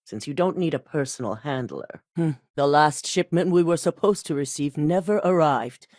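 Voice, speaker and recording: An actress voicing a calculating corporate executive who hands out missions in a video game, speaking in a composed, clipped, authoritative way. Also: female voice, deep voice, normal speed, darkish tone, no room sound, clean studio sound. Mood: cold. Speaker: corporate boss giving missions.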